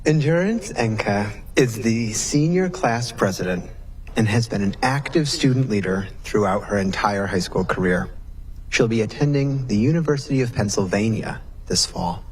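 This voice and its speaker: A man's voice: fairly low pitch, animated, moderate pace. Man formally introducing a speaker. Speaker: ceremony announcer.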